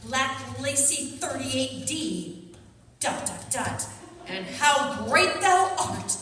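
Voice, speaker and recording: A woman playing an excitable, gossipy church lady sleuth with a melodramatic manner in a comedic radio play. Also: high voice, drawn-out words, slight background noise, heavily acted. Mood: solemn reciting. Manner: melodramatic, prone to malapropisms. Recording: distant, echoey reverberant hall.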